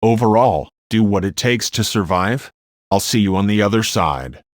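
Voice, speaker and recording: Guy acting weather service expert on radio drama, lowish voice, grave n instructive, dry recording. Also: lively intonation, moderate pace, dry recording, close to an everyday voice. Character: sombre weather service expert giving survival advice. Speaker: radio show guest expert. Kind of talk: scripted radio drama monologue.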